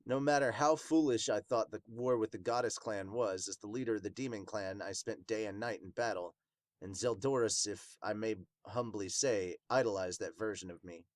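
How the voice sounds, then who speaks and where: A man's voice: on the low side, normal speed, no echo, studio quality, close to an everyday voice. A man voicing a reserved former demon commander in a manga dub, speaking in an understated, serious way. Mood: reflective, somber. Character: male captain, laconic, reserved older brother and former commander.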